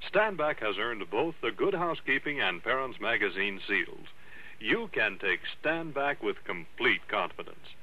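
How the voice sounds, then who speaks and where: American male ad announcer, lively intonation, medium pitch, dull vintage radio recording. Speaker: commercial announcer. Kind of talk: scripted mid-century radio advertisement.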